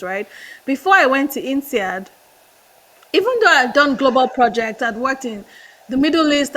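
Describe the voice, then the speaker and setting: A Nigerian woman in her thirties with a Nigerian accent, telling stories as a podcast guest, animated and laughing often. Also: high-pitched, poor audio. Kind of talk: casual interview storytelling.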